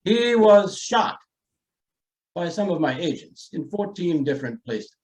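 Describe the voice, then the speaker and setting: Radio play patriarch, played by a man: genteel, ruthless, formal with hesitant pauses, higher-pitched and very animated. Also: some chatter behind. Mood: blandly menacing. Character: aloof, wealthy estate-owner father, genteel and coolly ruthless. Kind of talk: old-time radio drama acting.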